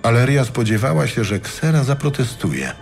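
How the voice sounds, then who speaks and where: A man reading scripted dramatic fantasy narration in a measured, solemn storytelling manner. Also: mid-range voice, sing-song, vocal fry, over music. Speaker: audiobook narrator.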